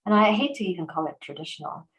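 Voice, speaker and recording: Low-voiced woman in lively conversation. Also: a little hiss or hum, low-quality recording. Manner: animated storyteller, quick fillers and restarts. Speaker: organization president and host. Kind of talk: conversational interview.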